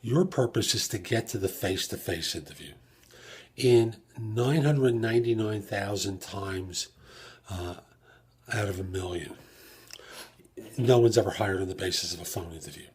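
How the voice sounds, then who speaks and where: A man's voice: on the low side, moderate pace, a little mellow, slightly rough. A man hosting an advice podcast solo, explaining things step by step and posing rhetorical questions. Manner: explanatory, asks rhetorical questions and answers them. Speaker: podcast host and job search coach. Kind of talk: career advice podcast monologue.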